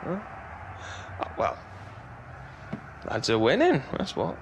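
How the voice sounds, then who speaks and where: A man's voice: mid-range voice, huge pitch swings, slow pace, gravelly, slight background noise, heavily acted, low-quality recording. A man with an English accent playing a chatty, cocky young footballer in cinematic game dialogue. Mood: downbeat. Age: young adult. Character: cocky, chatty young male footballer, jokey best mate. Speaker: actor in a football game's story mode.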